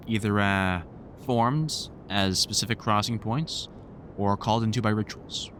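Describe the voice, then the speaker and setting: A man's voice: lowish voice, bright, forceful tone, slight background noise. A man playing a wry, grumpily fond vampire hunter in a conversational audio roleplay, teasing and swearing through rambling explanations.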